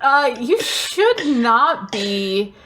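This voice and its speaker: A woman's voice: on the high side, very animated, very slow, a bit bright, a little hiss or hum, poor audio. A woman co-hosting a casual comedy podcast, talking in a wry, playfully dramatic way. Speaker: podcast co-host.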